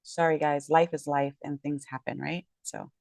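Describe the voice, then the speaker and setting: A woman's voice: sits low, fairly even intonation, moderate pace, gravelly, darkish tone, no echo, clean studio sound. A woman legal commentator talking casually about a court case, her voice tired and worn, rambling and correcting herself as she goes. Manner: rambling, self-correcting, apologetic. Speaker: real estate agent and legal commentator. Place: home, late at night.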